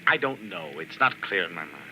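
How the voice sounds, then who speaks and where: An actor playing a flirtatious, moody painter, smooth-talking yet brusque, in a theatrical 1940s radio style. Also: male voice, low voice, expressive, a little fast, pushed and bright, full character voice. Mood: irritable. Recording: very noisy old 1940s radio recording.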